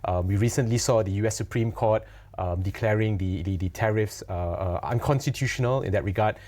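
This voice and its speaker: A man's voice: lowish voice, fairly quick, a little mellow. A man hosting a broadcast interview, asking long, conversational questions with some fillers. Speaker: host.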